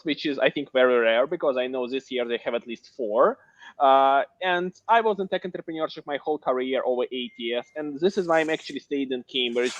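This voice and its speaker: A man in his thirties with a Russian accent talks cheerfully about his path as a recent graduate, often reaching for "like". His mid-pitched voice sounds dull and lo-fi over a video call. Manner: cheerful, with many "like" fillers.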